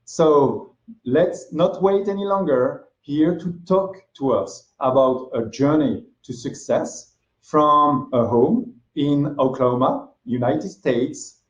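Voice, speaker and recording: A man's voice: on the high side, slow. Man introducing a speaker, dull echoey sound. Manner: warm and praising. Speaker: event presenter.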